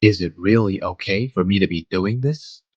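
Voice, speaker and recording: Man acting a low-voiced, conflicted guardian narrator. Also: moderate pace, darkish tone, breathy edge, dry recording, muffled recording. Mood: hesitant, introspective. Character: conflicted, devoted single guardian man, narrating his story. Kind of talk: first-person manga dub narration.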